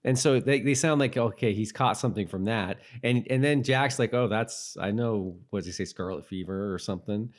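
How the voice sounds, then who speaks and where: A man co-hosting a casual TV rewatch podcast, talking in a halting way and repeating words as he thinks. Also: on the low side. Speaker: podcast co-host.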